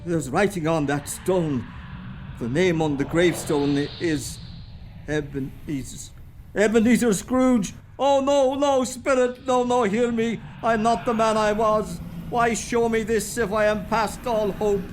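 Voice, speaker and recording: A man acting a frightened, repentant old miser in a radio play, halting and pleading. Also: high-pitched, noisy background. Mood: desperate pleading.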